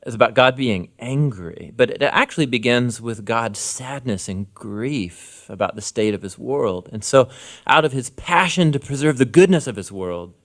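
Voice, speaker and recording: A man's voice: mid-range voice, animated, normal speed. Man explaining in a conversational way. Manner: explanatory, informal wording. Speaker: Bible teacher, co-host.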